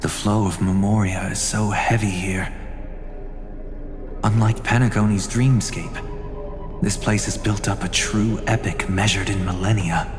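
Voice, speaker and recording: A man's voice: fairly low pitch, steady tone, a little slow, natural voice. An actor voicing a thoughtful young man who reflects with awe. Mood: awed. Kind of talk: game voice acting. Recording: long reverb. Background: game music.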